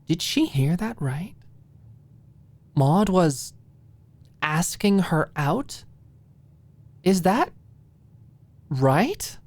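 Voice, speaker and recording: A woman narrating an audiobook in an intimate ASMR style, expressive and deliberate, with dramatic pauses and breathy softening. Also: rumbling low.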